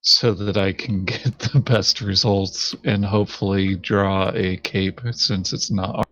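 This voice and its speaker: A man's voice: low-pitched, flat delivery, a little slow, fairly bright tone, dry recording, muffled recording. A man chatting casually as a fellow player in a game. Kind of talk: casual gaming chat.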